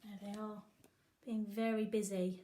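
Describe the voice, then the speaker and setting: A woman teacher reading a picture book aloud to young children, with animated, sing-song emphasis. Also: medium pitch, unhurried. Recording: echoey room.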